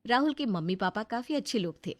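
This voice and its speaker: A woman narrating a Hindi moral story in a steady, plain storytelling manner. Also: fairly high pitch, fast talker, no echo.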